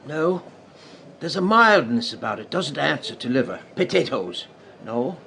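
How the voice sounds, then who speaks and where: A man's voice: a little high, very animated, a little slow, creaky, bright, forceful tone, a little hiss or hum, full character voice. An actor playing a kindly, humble old working-class father, musing aloud in folksy speech in a radio drama. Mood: eager, musing. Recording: music behind the voice.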